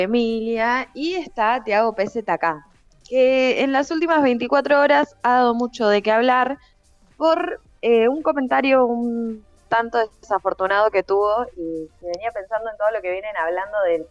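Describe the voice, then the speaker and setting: Argentine woman doing a radio trends bit, smooth lowish voice, dry kinda muffled sound. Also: no echo. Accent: Argentine Spanish. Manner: chatty, conversational. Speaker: trends columnist. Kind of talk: radio segment chat. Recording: dull dry studio sound.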